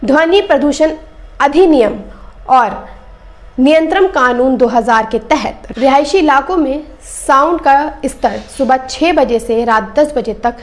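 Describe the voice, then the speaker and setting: Female news presenter with a high voice, delivering scripted commentary straight to the audience. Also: lively intonation, measured pace. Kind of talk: scripted news commentary, addressing viewers directly.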